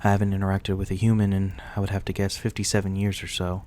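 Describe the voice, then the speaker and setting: A man playing a courteous, reclusive young-looking vampire in an intimate ASMR roleplay, speaking softly and reassuringly in a politely old-fashioned way. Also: deep voice, completely flat delivery, fast talker, close to an everyday voice. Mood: wryly amused.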